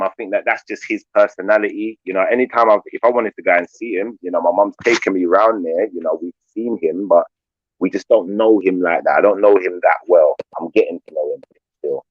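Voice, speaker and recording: A man from England talks in a loose, rambling way. His voice sits low, and the sound is dry and a little dull, with no room around it. He keeps saying "like" and "you know" as he speaks. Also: no room sound. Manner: laid-back, rambling, says "like" and "you know" a lot. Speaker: panel guest. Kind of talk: casual group chat.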